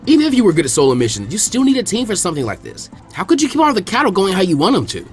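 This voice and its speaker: A man's voice: high-pitched, wildly swooping pitch, racing, soft background music, voice pushed up. A brash teenage ninja boy, voiced by a man. He talks in a cocky, upbeat way, full of bragging confidence.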